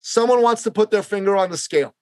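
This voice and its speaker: A man's voice: high-pitched, quick pace, no room sound, studio quality. Emphatic male podcast host from Canada. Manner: emphatic, repeats phrases for effect. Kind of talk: impassioned political podcast talk.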